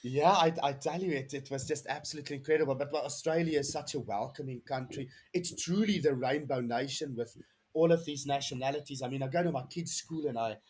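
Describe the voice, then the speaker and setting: A man's voice: medium pitch, brisk, a little mellow, a little hiss or hum. A man in his thirties with a South African accent, a podcast guest telling his story in an earnest, rambling way with many restarts. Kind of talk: casual interview chat.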